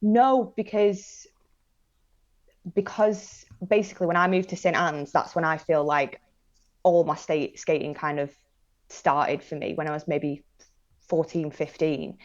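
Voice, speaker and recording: Northern english girl late 20s, lowish voice, chatty n slangy podcast guest, bit muffled lo-fi sound. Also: unhurried, long pauses. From northern England, Manchester and Blackpool area. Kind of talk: casual chat, personal anecdotes.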